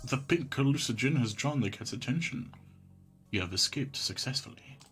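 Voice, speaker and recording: A man's voice: medium pitch, animated, moderate pace, a bit bright. A man livestreaming a game, chatting casually and reading on-screen text aloud in a laid-back, mumbly way, humming to himself. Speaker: live game streamer. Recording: music behind the voice. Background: game music.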